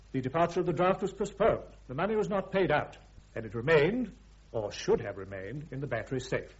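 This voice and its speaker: Dull band-limited radio drama sound, British army prosecutor, highish voice, formal, deliberate, precise courtroom delivery. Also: male voice, a little mellow.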